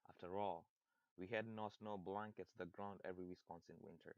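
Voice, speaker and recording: A man's voice: low-pitched, fairly even intonation, moderate pace, no room sound, highs cut off. A young man from Kenya with a Kenyan accent, giving a prepared personal speech and sometimes restarting a word. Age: young adult. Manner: reads steadily, restarts words. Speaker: student speaker.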